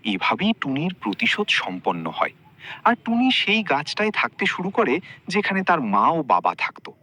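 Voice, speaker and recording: A man tells a scripted story as narrator. His voice is fairly high and bright, with very animated, wide-ranging intonation. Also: normal speed.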